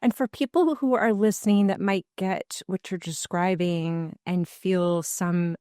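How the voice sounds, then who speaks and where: Lower-voiced woman host, reflective. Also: fairly even intonation, a little slow, warm tone, clean tone, no echo, studio quality. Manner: reflective, long winding questions. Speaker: podcast host. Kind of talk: podcast interview.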